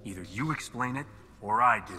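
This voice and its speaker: An actor playing a cocky, roguish starship captain with dry humor in a video game cutscene. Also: male voice, mid-range voice, a little fast, pushed and bright. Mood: firm. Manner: dry, wisecracking. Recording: clear music under the voice.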